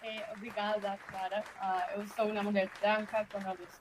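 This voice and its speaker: Colombian lady, spanish accent, kinda flat, careful talk. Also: medium pitch, moderate pace, a crowd in the background, noisy background.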